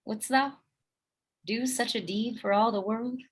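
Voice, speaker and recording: A woman playing a gentle, melancholy noblewoman and obedient wife in a Shakespearean radio play, with a wistful manner. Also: a little high, unhurried, slightly rough. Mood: troubled, innocent.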